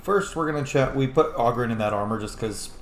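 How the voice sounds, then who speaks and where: A man's voice: mid-range voice, brisk. A man hosting a gaming let's play, talking casually and jokingly, with some swearing. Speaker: host of a gaming channel. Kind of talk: casual let's play commentary, role-playing in-game dialogue. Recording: roomy echo, faint background noise.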